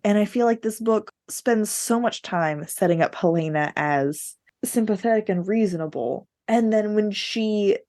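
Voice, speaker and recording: A woman's voice: medium pitch, expressive, measured pace, few pauses, a little mellow. Candid, opinionated woman in casual conversation. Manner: candid, opinionated, laughs while talking. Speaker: podcast co-host. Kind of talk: casual book discussion.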